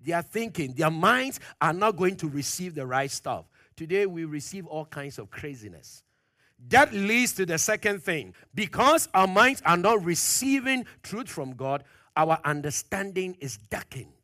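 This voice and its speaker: A man preaching a sermon, pressing his points with questions to the congregation, loud at the peaks and dropping low and quiet at phrase ends. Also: big pitch swings, measured pace, no room sound, studio quality. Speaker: pastor.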